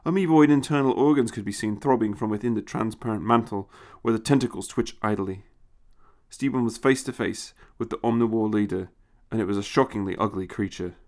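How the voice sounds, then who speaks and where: A man's voice: lowish voice, fairly quick, darkish tone. A man reading a short science fiction story as scripted dramatic narration.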